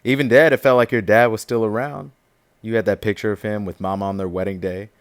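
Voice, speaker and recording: Deep-voiced defiant young man played by an actor. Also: fairly even intonation, quick pace, smooth, no room sound, natural voice. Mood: bitter. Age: young adult. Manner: streetwise, sardonic, informal. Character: defiant young activist, younger brother of police chief. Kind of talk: audio drama acting.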